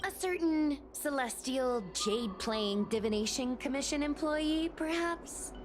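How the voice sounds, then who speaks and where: An excitable young female streamer from a video game. Her voice is high and swings in pitch with peppy, teasing chatter, over music. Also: a little slow. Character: energetic, excitable young woman, follower-chasing streamer.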